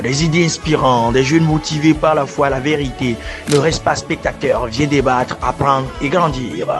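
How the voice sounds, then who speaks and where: Un homme à l'togolais lit le texte d'un spot promotionnel d'un ton percutant et déclamatoire. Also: male voice, mid-range voice. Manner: punchy, declamatory. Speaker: promo voice-over. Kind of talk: scripted promo narration.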